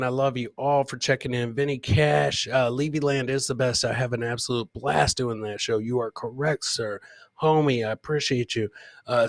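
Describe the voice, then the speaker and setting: A man hosts a live comedy stream in an informal, rambling way. His medium-pitched voice is recorded close and dry, as he chats casually with the people watching. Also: a bit bright, no echo. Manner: informal, rambling, addresses viewers as guys and man. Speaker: live comedy podcast host. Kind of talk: casual live-stream chat, riffing on clips and reading viewer comments.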